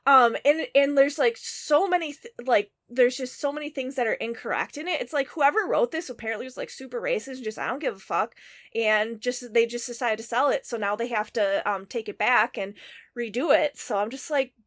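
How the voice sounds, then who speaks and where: Animated, profanity-heavy woman on a podcast. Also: a little high, fairly quick. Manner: animated, profane, rambling with fillers. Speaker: podcast co-host. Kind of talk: casual chat.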